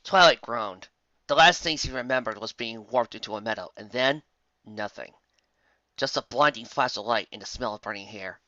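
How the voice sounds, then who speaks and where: A man reading a story as a scripted narrator, with dramatic, expressive delivery on a muffled, dry home recording. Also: mid-range voice, moderate pace, no echo. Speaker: fan fiction narrator.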